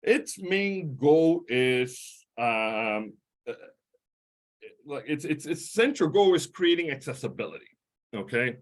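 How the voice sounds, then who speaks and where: A middle-aged man with a Portuguese-speaking accent, a history professor giving an academic lecture, talking in a halting way with many fillers, restarts and tag questions. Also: on the high side, big pitch swings.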